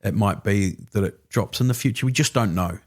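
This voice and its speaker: A man in his fifties with a New Zealand accent and a lowish voice. He explains finances as an interview guest in a relaxed, conversational way, full of quick agreements and asides. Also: breathy edge. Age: 50s. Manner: relaxed, chatty, frequent filler agreements. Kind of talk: casual interview chat.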